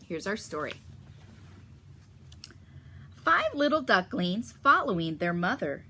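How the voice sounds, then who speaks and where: Slow, animated woman reading to children. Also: medium pitch, slight background noise. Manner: teacherly, addressing children with questions. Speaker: story reader for children. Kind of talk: expressive picture-book read-aloud with explanations.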